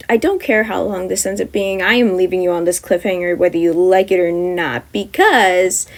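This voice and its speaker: Young woman, author-reader of her own podfic; mid-pitch, chatty, rambling asides. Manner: rambling, self-correcting, upbeat. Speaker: fan fiction author reading her own story. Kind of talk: podfic narration and casual chat.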